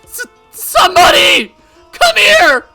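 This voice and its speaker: A paranoid teenage girl, played by a man, suspicious and easily frightened. The voice is tense and very sharp, with pitch that leaps around and music underneath. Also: wildly swooping pitch, unhurried, full character voice. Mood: terrified. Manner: accusing, stammering, shrieking when cornered.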